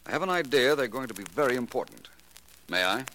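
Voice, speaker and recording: An actor playing an urbane, confident private detective in 1940s radio style, polished and wry, on a noisy old recording. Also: male voice, mid-range voice, lively intonation, fast, sharp tone. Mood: confident, brisk. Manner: polished, self-assured, wry.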